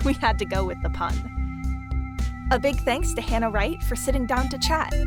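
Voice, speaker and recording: Female podcast host, higher voice. Playful, chatty, informal interviewing. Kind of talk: casual interview chat.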